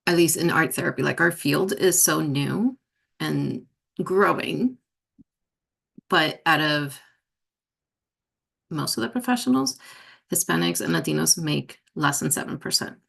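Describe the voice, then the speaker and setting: A woman art therapist speaks on a panel in a slow, thoughtful way. Her voice is very low, heavily gravelly, and husky. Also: lively intonation, fairly bright tone. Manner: slow, thoughtful, uses "like" and "you know". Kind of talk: panel discussion. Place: university event room.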